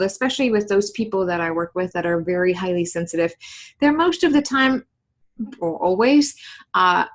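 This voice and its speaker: German-accented woman, earnest and reflective. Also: lowish voice. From East Germany. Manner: earnest and reflective, with frequent laughs and "like" fillers. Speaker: podcast guest, entrepreneur. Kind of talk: casual conversational storytelling.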